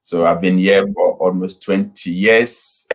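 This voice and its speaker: A man's voice: low voice, fairly even intonation, creaky. A middle-aged man from Nigeria, a pastor, telling his story unhurriedly over a video call with many fillers. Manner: unhurried, with frequent fillers and restarts. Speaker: pastor and guest. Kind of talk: casual interview storytelling.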